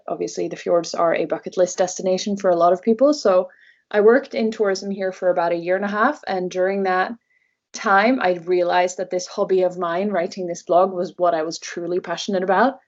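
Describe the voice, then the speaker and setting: A woman's voice: lowish voice, fairly even intonation, fairly quick, highs cut off. A young woman from Norway, a native Norwegian speaker, answering interview questions conversationally in English as a travel blogger guest.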